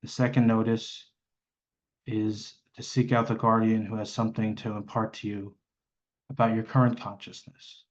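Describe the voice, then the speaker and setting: A man leading an improvised guided meditation, speaking hesitantly and pausing mid-sentence. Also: on the low side, flat delivery, dull audio.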